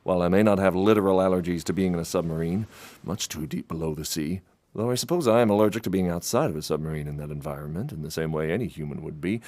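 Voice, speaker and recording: An actor playing a hapless, fussy private detective in an audio drama, wordy and formal with wry understatement. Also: male voice, sits low, a little mellow, no room sound, lightly acted. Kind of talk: full-cast audio drama with noir-style narration.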